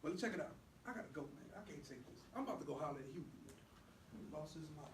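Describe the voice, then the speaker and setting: A man's voice: on the high side, animated, very fast, close to an everyday voice. A man acting a protective, hot-tempered older brother in a stage play skit, talking in a colloquial, confrontational way. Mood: fed up. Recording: roomy, distant mic, noisy background.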